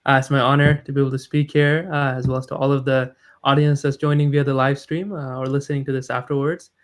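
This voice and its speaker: A man in his thirties, raised in the United States, talks through his story as a live stream guest. He speaks modestly and thoughtfully, with plenty of fillers and small restarts. His pitch sits in an ordinary middle range. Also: level tone, quick pace. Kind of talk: conversational interview.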